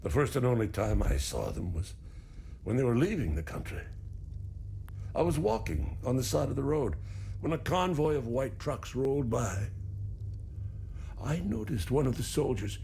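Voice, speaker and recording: An actor playing a weary, stoic older farmer in a video game, grieving and embittered by war, speaking in a measured way. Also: male voice, mid-range voice, very animated, vocal fry, warm tone, slight background noise. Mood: grim, convinced. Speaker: non-player character. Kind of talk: video game dialogue acting.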